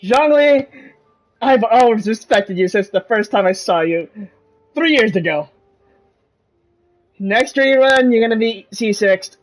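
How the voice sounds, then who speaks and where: A man's voice: high-pitched, lively intonation, a little slow, pauses often, faint music behind, poor audio. A man giving casual, offhand live reactions as he streams a video game. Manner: offhand, informal.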